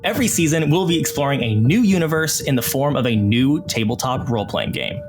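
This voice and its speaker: A man's voice: medium pitch, animated, fairly quick. A man narrating a scripted, dramatic recap as the narrator of a fantasy podcast. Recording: loud music behind the voice.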